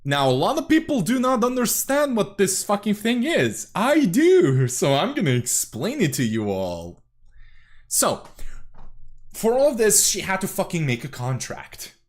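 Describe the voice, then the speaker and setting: A man's voice: sits high, huge pitch swings, a little slow. A young man from Serbia, rambling through casual reaction commentary as a streamer, swearing often and correcting himself.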